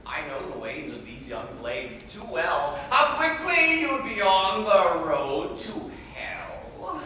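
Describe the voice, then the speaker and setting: A man's voice: high-pitched, huge pitch swings, slow, pushed and bright, a little hiss or hum, pitched below the performer's own voice, full character voice. An actor playing a pompous, controlling middle-aged male guardian, declaiming rhyming verse in a stage monologue. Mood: lecturing. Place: high school auditorium. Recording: echoey hall from far off.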